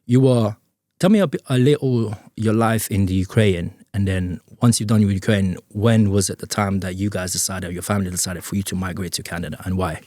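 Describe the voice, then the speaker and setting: Warm, dry-voiced male host. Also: deep voice, no echo. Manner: halting questions, frequent restarts. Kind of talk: interview hosting.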